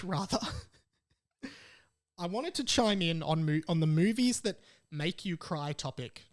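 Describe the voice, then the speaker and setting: A young adult man with an Australian accent, hosting a casual podcast in a rambling, jokey way. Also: fairly high pitch. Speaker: podcast host. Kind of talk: casual solo podcast chat.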